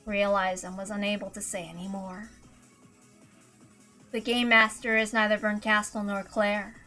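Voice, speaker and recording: A woman's voice: mid-range voice, flat delivery, normal speed, bright, forceful tone, soft background music. A woman reading scripted visual novel narration in a dramatic style, as narrator. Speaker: narrator and reader.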